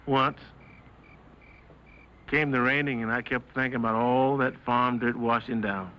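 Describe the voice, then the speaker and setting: A man's voice: medium pitch, steady tone, unhurried, lightly acted. Guy acting old west farmhand, ex-slave, proud and weary, plain short talk, muffled old film sound. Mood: reflective. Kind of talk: old western film dialogue. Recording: dull lo-fi film audio.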